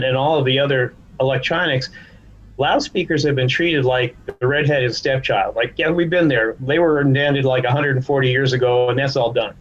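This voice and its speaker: A man's voice: mid-range voice, dull audio. A man speaking conversationally as a guest executive in a podcast interview. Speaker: podcast guest, trade association chief executive.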